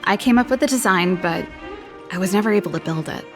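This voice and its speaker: A woman voicing a spirited, wistful young elder sister who invents and plays music in a video game, with a breezy, expressive delivery. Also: mid-range voice, fast, natural voice. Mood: nostalgic. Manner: breezy, expressive, with sighs and trailing reflection. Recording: studio voice over background music. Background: game background music.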